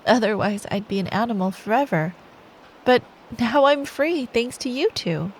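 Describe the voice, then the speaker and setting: A young storybook prince, as a woman reads him, speaking gently and earnestly. He sounds grateful, with faint noise behind the voice. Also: on the high side, animated, normal speed, low-quality recording. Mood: gentle, grateful. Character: gentle young prince freed from a bear's curse. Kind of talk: storybook character voice.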